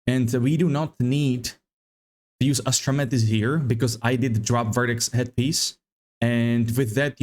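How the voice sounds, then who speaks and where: A man with a slightly hoarse voice giving a loose, rambling walkthrough of a game build, repeating words as he thinks. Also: medium pitch, darkish tone. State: slightly hoarse, losing his voice. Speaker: gaming content creator. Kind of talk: casual game build guide.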